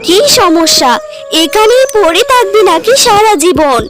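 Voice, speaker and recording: A woman's voice: very high voice, expressive, measured pace, music in the background. A woman voicing a vain, selfish, snappy young wife in a cartoon story, haughty and scolding. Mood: scornful, scolding.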